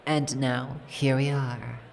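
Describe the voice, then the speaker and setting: A woman playing a regal, reserved female dragon in an ASMR roleplay, measured, formal and guarded in her speech. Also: extremely low pitch, level tone, creaky, a little mellow, a little hiss or hum, heavily acted. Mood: composed, gracious.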